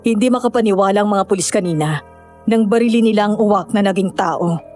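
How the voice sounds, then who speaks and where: A woman's voice: medium pitch, fast talker, darkish tone, soft background music, natural voice. A woman playing a knowing, solemn villager who explains and cautions in a horror audio drama. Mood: solemn, explaining.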